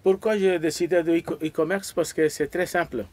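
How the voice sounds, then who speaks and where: A man of about seventy, from Pakistan and speaking, answering interview questions in an earnest, halting way and often repeating words as he searches for them. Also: a little high, steady tone, darkish tone, no room sound. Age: around 70. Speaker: interview guest.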